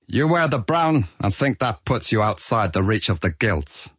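A man's voice: fairly low pitch, sing-song, moderate pace, a bit bright, breathy edge, no room sound, clean studio sound, heavily acted. A man playing a hostile, contemptuous armed guard in a fantasy audiobook drama. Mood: menacing. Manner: contemptuous, threatening. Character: hostile, sneering armed male merchant-guild guard. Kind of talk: full-cast fantasy audiobook acting.

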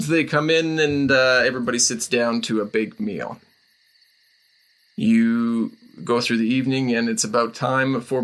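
A man narrating a comedic tabletop game as game master, in a loose, halting way. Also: mid-range voice, creaky, fairly bright tone.

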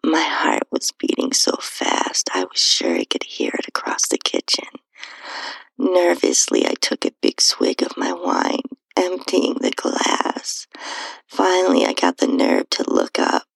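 Husky, whispery woman with heavy vocal fry. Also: sits low, wildly swooping pitch, unhurried, no echo, rough recording. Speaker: podcast host and narrator reading own story. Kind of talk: intimate first-person erotic audio storytelling.

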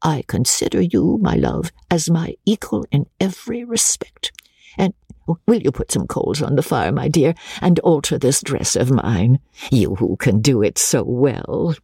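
Shrill, commanding old heiress played by an audiobook reader, teasing, swoops wildly in pitch, slightly husky. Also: big pitch swings, unhurried, mellow, no echo, studio quality, exaggerated voice. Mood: fond, teasing. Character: elderly wealthy spinster aunt, imperious and witty.

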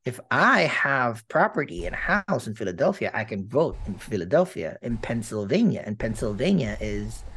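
A man giving personal updates in a casual chat, rambling and halting with many fillers and casual swearing. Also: mid-range voice, big pitch swings, a bit bright, a little hiss or hum.

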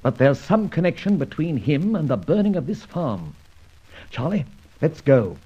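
An actor playing a suave adventurer sleuth in an old radio drama, speaking in an articulate, wry, theatrical manner. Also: male voice, a little high, big pitch swings, moderate pace, warm tone, breathy edge. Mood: brisk, investigative. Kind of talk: old radio drama acting with scripted narration. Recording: muffled old radio recording with music.

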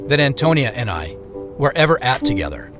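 A man's voice: mid-range voice, sing-song, a little fast, natural voice. An actor playing a reflective man who narrates his own story in scripted, descriptive audio drama narration. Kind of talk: scripted first-person narration. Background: music.

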